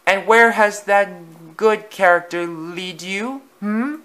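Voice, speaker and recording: A man's voice: high voice, fairly even intonation, slow, sharp tone, voice pushed up, full character voice. A man voicing a pompous, boastful big male steam engine who talks in a grand, haughty way.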